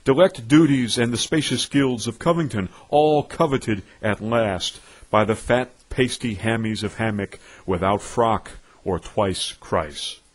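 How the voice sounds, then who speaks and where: A man with a husky voice reciting poetry in a theatrical, pompous, declamatory way with dramatic emphasis. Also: on the low side, unhurried, hardly any pauses. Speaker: poetry reader. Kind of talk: theatrical recitation of nonsense verse.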